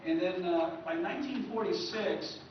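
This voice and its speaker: A man giving an informal history talk at a convention, conversational and halting, recorded from far off in an echoey room. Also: sits high, muffled recording, a little hiss or hum. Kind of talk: informal lecture.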